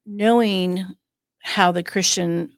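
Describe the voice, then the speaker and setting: Creaky-voiced woman hosting a podcast. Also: fairly low pitch, steady tone, slow pace, dry recording, clean studio sound. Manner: chatty, with frequent fillers and restarts. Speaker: podcast host and psychic medium. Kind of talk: casual podcast chat.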